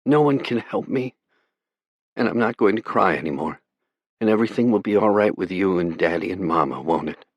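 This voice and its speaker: A man's voice: deep voice, animated, brisk, breathy edge, no echo, pitched above the performer's own voice, full character voice. A man voicing a frightened, brave teenage girl in an audiobook, pleading and tender. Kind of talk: audiobook character voice.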